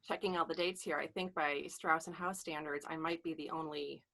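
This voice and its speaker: A woman of about fifty with a slightly low voice. She talks casually, as a guest on a discussion panel. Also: steady tone, fairly quick, vocal fry, pushed and bright. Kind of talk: casual self-introduction.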